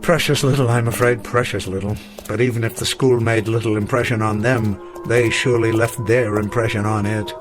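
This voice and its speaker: A man's voice: fairly low pitch, fairly even intonation, a little fast, few pauses, over music, close to an everyday voice. An older man acting in a radio drama as a weary old professor full of regret, speaking in a formal, literary way. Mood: gentle, resigned. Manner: formal, literary, reflective speech.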